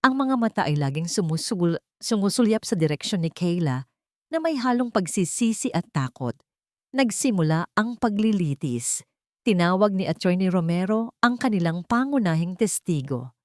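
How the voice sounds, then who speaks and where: Woman, Filipino accent, low voice, dry close sound, dramatic audiobook narration. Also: expressive, moderate pace, no echo.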